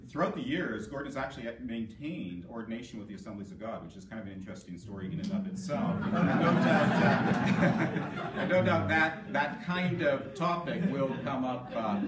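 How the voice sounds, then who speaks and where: A middle-aged man presiding over a scholarly session, giving an informal, hesitant introduction with many self-corrections. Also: medium pitch. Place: conference session room, recorded from a distance. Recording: echoey room, distant mic, loud background noise.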